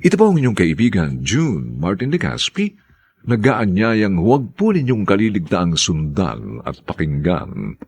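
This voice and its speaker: A male radio soap announcer with a low, husky, gravelly voice. He reads slowly and theatrically, with very sweeping intonation. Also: wildly swooping pitch, darkish tone. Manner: theatrical, deliberate. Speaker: narrator and announcer. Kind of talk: dramatic scripted radio drama narration.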